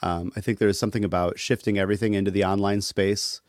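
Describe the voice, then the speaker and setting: A man talking casually as a podcast guest, thoughtful and halting, with a wry streak. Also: fairly low pitch, fairly even intonation, dry recording, clean studio sound. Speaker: interview guest, musician.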